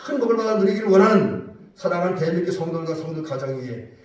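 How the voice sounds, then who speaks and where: A male pastor with a stuffy nose and slightly hoarse voice from a cold, giving plain, hesitant church announcements and a benediction. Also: on the high side, expressive, very fast. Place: church sanctuary. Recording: echoey church hall, mic far off.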